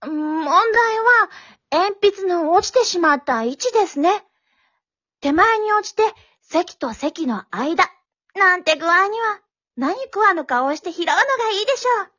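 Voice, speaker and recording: A high, bright comic manners teacher who teaches with earnest drama and spins into rambling self-talk, voiced by a woman. This is an amateur radio play captured in a dull, lo-fi recording. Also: lively intonation, a little slow, heavily acted. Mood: instructive. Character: eccentric female manners instructor, overthinking. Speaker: manners instructor.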